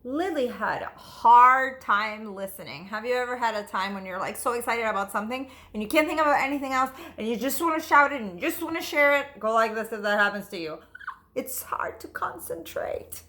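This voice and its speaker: A woman teacher reading a storybook aloud to children, expressive and emphatic, speaking to her listeners directly. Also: fairly high pitch, fairly quick.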